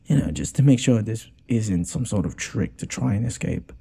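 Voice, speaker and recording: Casting: male actor for a smitten, apologetic noble lord in a boyfriend roleplay; husky, lively intonation, halting speech with sighs. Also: fairly low pitch, full character voice. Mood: pleased, gentle. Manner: hesitant, stammering, sighing. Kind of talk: intimate boyfriend audio roleplay.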